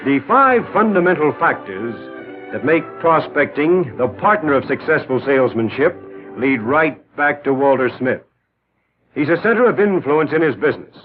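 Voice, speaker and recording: An encouraging, emphatic mentor, voiced by a male actor narrates a 1940s training film. His voice is fairly high and very animated, on a narrow vintage soundtrack with light music. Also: natural voice. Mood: instructive, emphatic. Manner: emphatic, instructive, measured phrasing. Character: confident, encouraging mentor voice coaching an insurance salesman.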